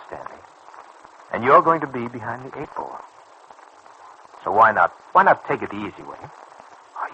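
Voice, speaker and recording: An actor in an old radio serial playing a suave, scheming crime boss who coaxes and patronises in precise, persuasive phrases. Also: male voice, mid-range voice, sharp tone, muffled recording. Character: smooth, scheming male racket boss, coaxing and patronising. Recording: old radio recording with faint noise.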